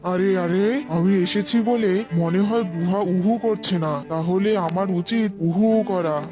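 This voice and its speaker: A man's voice: sits high, measured pace. A man voicing a foolish, scheming crocodile in a children's cartoon story, dim-witted and grumbling. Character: foolish, scheming crocodile, the fox's hapless enemy. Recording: muffled audio under loud music.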